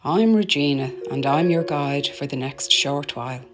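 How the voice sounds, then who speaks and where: A woman narrating a guided meditation in a soothing, gentle, deliberate manner, letting her phrases end softly. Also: low-pitched. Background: meditation music.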